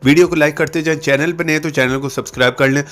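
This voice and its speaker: A man's voice: fairly high pitch, steady tone, quick pace, a bit bright. A man hosting a tutorial channel, narrating step-by-step instructions on how to use an app.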